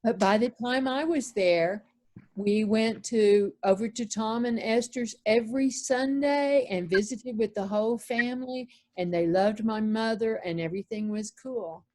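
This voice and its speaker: A woman's voice: on the high side, measured pace, darkish tone. A woman speaking casually as a playwright in a group discussion over a video call.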